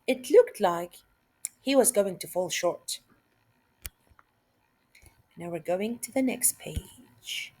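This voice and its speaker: Slow-paced woman reading aloud to pupils. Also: mid-range voice, expressive, dark tone, a little hiss or hum, poor audio. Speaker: teacher reading a story aloud. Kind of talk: read-aloud lesson for children.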